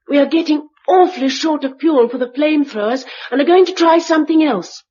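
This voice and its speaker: A woman's voice: sits high, brisk, a little mellow, natural voice. A woman with an English accent playing an earnest, blunt eleven-year-old girl in a vintage radio drama. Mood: proud, reciting. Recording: dull old radio recording.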